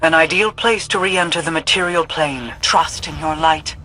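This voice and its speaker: An actor playing a lofty, scholarly mystic warlock in a video game, speaking formally and portentously. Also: male voice, a little high, normal speed, sharp tone, a little hiss or hum. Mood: commanding. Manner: formal, portentous, precise wording. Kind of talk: scripted video game voice acting.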